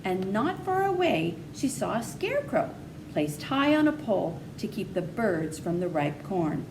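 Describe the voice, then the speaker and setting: A woman's voice: medium pitch, sing-song, measured pace, noisy background. A woman reading a children's story aloud as narrator. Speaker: story reader.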